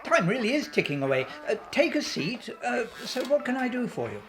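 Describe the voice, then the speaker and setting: A woman's voice: on the high side, very animated, brisk, over music. A woman playing a vague, quirky elderly British scientist in a radio play, hesitant and absent-minded as she talks. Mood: distracted, mild.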